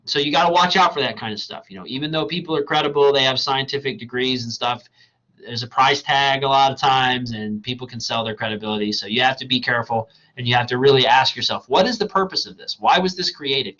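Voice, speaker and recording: A man teaching a college writing class in a recorded slideshow lecture, talking in a loose, conversational way, tagging "right?" onto his points, his voice going hoarse. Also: medium pitch, sharp tone, dull audio. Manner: conversational and digressive, asks "right?" often. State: losing his voice.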